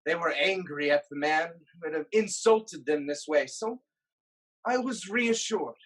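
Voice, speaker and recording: Someone acting a haunted greek king, husky and grave, slow weighty dramatic lines. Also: medium pitch, low-quality recording. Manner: grave, deliberate, declamatory. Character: anguished proud king of Thebes, haunted by prophecy. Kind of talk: dramatic reading of Greek tragedy.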